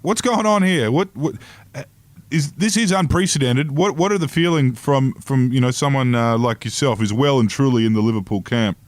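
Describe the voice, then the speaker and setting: A man's voice: mid-range voice, moderate pace, no room sound. An Australian man hosting a podcast, asking rambling, jokey questions in a casual interview chat. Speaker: podcast host.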